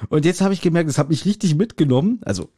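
A man's voice: fairly high pitch, expressive, fast talker, warm tone, breathy edge, dry recording, clean studio sound. A man hosting a podcast, casually recapping a story and acting out quotes as he goes. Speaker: podcast host. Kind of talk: casual chat recapping an audio drama.

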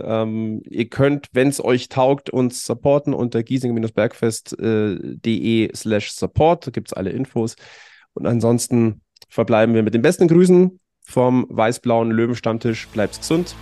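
A man hosting a casual podcast, a little hoarse from a cold, chatty and upbeat with frequent filler words. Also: medium pitch, dark tone, no echo. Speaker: podcast host.

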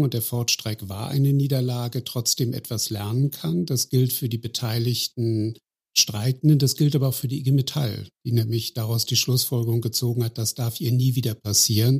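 A man with a medium-pitched, markedly husky and rough voice speaks as an interview guest. He explains step by step in a measured, structured manner. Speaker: podcast guest.

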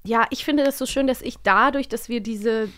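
A woman's voice: on the high side, normal speed, fairly bright tone, no room sound, clean studio sound. A woman co-hosting a casual podcast, chatting playfully and laughing often. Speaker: podcast co-host. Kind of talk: casual chat.

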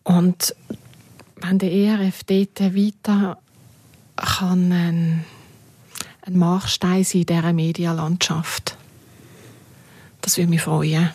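A woman's voice: lowish voice, little pitch movement, takes it slowly, long pauses, darkish tone, slightly rough, no room sound. A middle-aged or older woman speaking as an interview guest in a conversational, reflective way. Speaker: interview guest looking back on media work. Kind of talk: conversational reminiscence.

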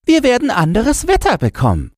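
A man voicing a hoarse, barking, grumbling and knowing chained yard dog from a storybook. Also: very high-pitched, huge pitch swings, a little fast, no room sound, clean studio sound, voice pushed up, exaggerated voice. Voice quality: hoarse, yapping. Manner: barking exclamations. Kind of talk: storybook character voice.

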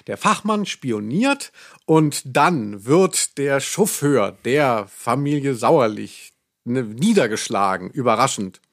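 A man co-hosting a podcast, chatting casually and retelling a story in an excitable, ironic way. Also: medium pitch, slow. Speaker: podcast co-host. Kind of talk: casual, humorous discussion of an audio drama.

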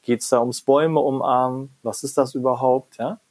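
A middle-aged German man, a professor, answering interview questions in a reflective, animated way and often ending phrases with "ja?" or "ne?". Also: mid-range voice, moderate pace. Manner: reflective, animated, frequent "ja?" and "ne?" tags. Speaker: guest, professor of creativity and design.

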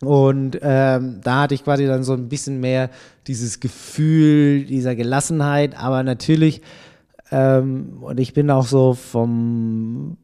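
Brief: young adult man, podcast guest, dark mellow tone, laid-back and hesitant. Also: mid-range voice, takes it slowly, clean tone. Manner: relaxed, many fillers, thinks aloud. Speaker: podcast guest, triathlon editor. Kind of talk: casual podcast conversation.

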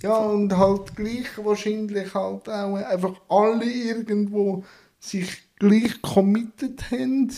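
A man with a Swiss German accent hosting a podcast interview, relaxed, filling his sentences with tag questions. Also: high voice, takes it slowly. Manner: relaxed, fills with "halt" and "oder?". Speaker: podcast host. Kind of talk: casual conversational interview.

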